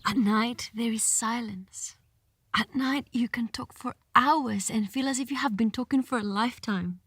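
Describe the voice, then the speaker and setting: An actress playing an intense chorus figure in a tragedy, narrating in an emphatic, declamatory theatrical style. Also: female voice, fairly high pitch, normal speed, a bit bright, husky, dry recording. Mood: brooding. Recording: music under the voice.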